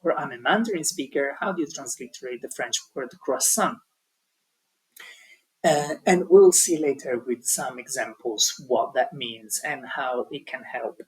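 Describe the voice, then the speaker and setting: Husky man giving a hesitant tutorial. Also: medium pitch, unhurried, rough recording. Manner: hesitant, many ums and restarts. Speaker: language teaching tutor. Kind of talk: explaining an online tutorial.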